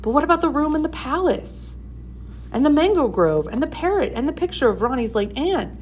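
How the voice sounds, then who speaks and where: A woman plays a storybook Rajah, a practical royal father. He sounds fussy and commanding, pushed up in pitch, with slight noise in the recording. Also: sing-song, brisk.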